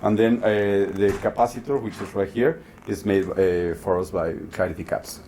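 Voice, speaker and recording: A man giving casual, halting interview answers at a trade show, often repeating words mid-sentence. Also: deep voice, dark tone. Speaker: loudspeaker designer and company co-founder. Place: busy audio trade show. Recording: noisy trade show floor.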